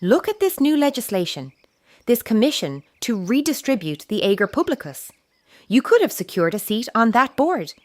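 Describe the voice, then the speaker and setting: A firm, practical Roman mother, acted by a woman, speaks with pointed authority. Her pitch moves expressively, and the audio drama recording is dry and close. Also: sing-song, moderate pace, dry recording. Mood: urging. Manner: commanding, pointed. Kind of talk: historical audio drama acting.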